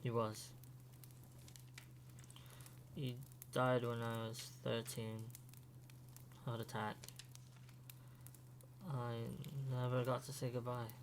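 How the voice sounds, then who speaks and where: Slow, even-voiced earnest young man played by a man. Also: medium pitch, rough recording. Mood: wistful. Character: earnest grieving young man, guarded, quietly supportive. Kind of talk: fan audio drama acting. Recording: faint hum behind the voice.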